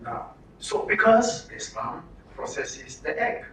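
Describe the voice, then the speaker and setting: A man's voice: high-pitched, big pitch swings, slow, fairly bright tone. A man with a Nigerian accent giving an interactive lecture to an audience, emphatic and often putting questions to the listeners. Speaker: speaker at a training session. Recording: roomy, distant mic with background noise.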